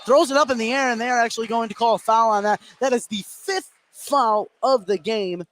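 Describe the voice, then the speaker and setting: An American man doing excitable live play-by-play basketball commentary for radio, narrating the action in running phrases. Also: extremely high pitch, brisk, slight background noise. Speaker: play-by-play sports announcer.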